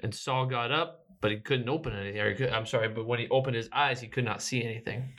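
A man in a casual Bible discussion, throwing in teasing jokes and quick asides. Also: mid-range voice, a bit bright. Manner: joking, teasing interjections. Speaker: podcast co-host.

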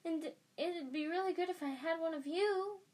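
A woman voicing an excitable, starstruck young schoolboy wizard in a storybook, gushing breathlessly and eagerly. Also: very high voice, normal speed, voice pushed up. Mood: excited, eager.

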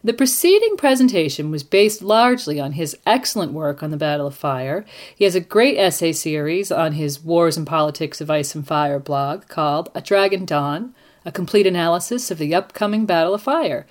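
A woman's voice: deep voice, lively intonation, normal speed. A woman hosting a podcast, presenting in a prepared yet conversational style. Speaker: podcast host. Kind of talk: scripted-sounding presentation and chat.

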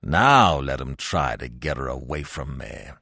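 A man voicing a pompous, sentimental old English gentleman in an audiobook, speaking in a solemn, grandiloquent manner. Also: sits low, sing-song, unhurried, gravelly, no echo, studio quality, voice pushed down, heavily acted. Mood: proud, defiant. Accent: British.

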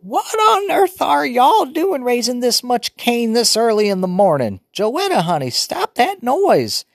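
A man voicing a fussy old grandmother, shrill and scolding. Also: wildly swooping pitch, measured pace, gravelly, no room sound, clean studio sound, heavily acted.

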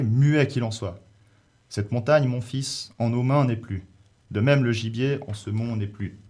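A man's voice: on the low side, a little mellow, lightly acted. Man playing a lamenting, fearful old uncle. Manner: emphatic, lamenting. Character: older uncle, thunder-voiced elder, weary and fearful.

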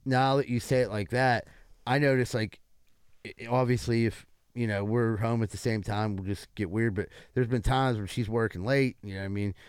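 A man in his forties speaks as a talk show host in a close, dry room sound. His pitch is ordinary for a man, and his talk is casual, crude banter. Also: fairly even intonation, moderate pace, clear, resonant tone, dry recording. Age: early 40s.

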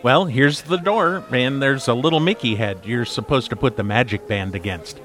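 A man's voice: mid-range voice, moderate pace, fairly bright tone, close to an everyday voice. A man playing the exasperated straight man in a podcast audio drama, dry and no-nonsense. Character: exasperated straight man among joking friends. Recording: clear music behind the voice.

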